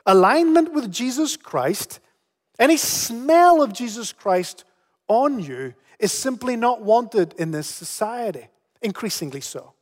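A man's voice: very high voice, sing-song, slow pace. A middle-aged man preaching a sermon in earnest, often repeating words as he starts a phrase. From Spain. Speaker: pastor. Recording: long hall reverb.

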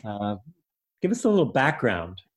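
A man hosting an interview program, introducing his guest in a measured, earnest way. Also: lowish voice, very animated. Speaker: host. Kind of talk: radio interview.

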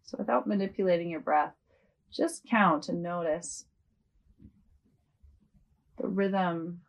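A woman leading a guided yoga nidra meditation as a host, speaking gently and without hurry, with long pauses. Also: lowish voice, gravelly.

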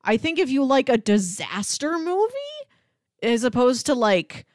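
An American woman co-hosting a podcast, chatting casually about films in a chatty, animated way. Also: fairly high pitch, a little slow, no echo, clean studio sound. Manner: chatty, animated, often laughing while talking. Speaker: podcast co-host.

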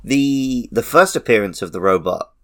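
A man's voice: mid-range voice, animated, normal speed. A man co-hosting a podcast, casually and enthusiastically discussing films and games in fan detail. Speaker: podcast co-host. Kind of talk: casual film and game discussion.

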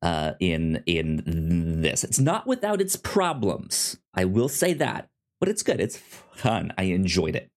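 A podcast host chatting casually about reviews, with a halting delivery that repeats and stammers on words. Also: deep voice, wildly swooping pitch.

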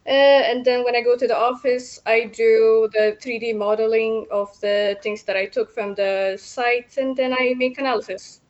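A young woman from Ethiopia, a civil engineer answering radio interview questions with many pauses and fillers. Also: fairly high pitch, flat delivery, lo-fi sound.

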